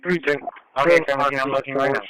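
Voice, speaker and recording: A man's voice: medium pitch, fairly even intonation, rapid-fire, over a noisy crowd. A man speaking clipped, procedural police radio traffic as an officer over a two-way radio. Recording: noisy police scanner radio audio.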